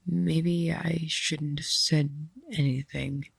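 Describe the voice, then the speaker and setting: Earnest young best friend for audio drama: low, gravelly, anxious, tentative. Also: female voice, fairly even intonation, slow, no echo, exaggerated voice. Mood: nervous, vulnerable.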